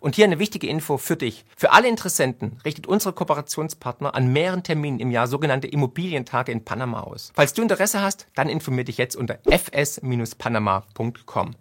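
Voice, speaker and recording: A man's voice: fairly high pitch, lively intonation, quick pace, hardly any pauses. A man hosting a video channel, delivering a scripted introduction straight to the viewer.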